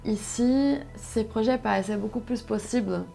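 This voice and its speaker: A young woman from Brazil, a native Portuguese speaker with a Brazilian accent, telling her story conversationally and haltingly as she searches for words. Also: on the high side, vocal fry, warm tone, soft background music. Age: young adult. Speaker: interview guest, solo sailor.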